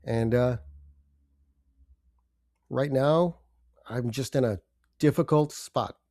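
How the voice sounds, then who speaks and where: An older man hosting a personal podcast, congested from a cold, speaking in a halting, subdued way with pauses and fillers. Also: mid-range voice, warm tone, dry recording, clean studio sound. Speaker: podcast host. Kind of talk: personal confessional monologue.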